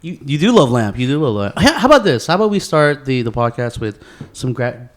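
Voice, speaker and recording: A man's voice: mid-range voice, sing-song, brisk. A man co-hosting a podcast in casual banter, using slang and swearing, often repeating phrases for emphasis. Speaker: podcast co-host.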